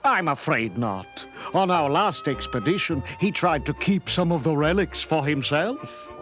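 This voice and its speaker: A nice museum professor, bit high and very animated, formal, kids tape with music. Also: male voice, unhurried. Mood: genial, businesslike. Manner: formal, genial, explanatory. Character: kindly, authoritative male museum professor. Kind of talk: cartoon storybook dialogue. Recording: music behind, 1980s cassette storybook.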